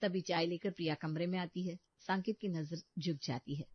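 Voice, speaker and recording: A woman narrating a scripted cartoon story in a steady storytelling manner. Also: lowish voice, moderate pace, no room sound. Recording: dull lo-fi dry recording.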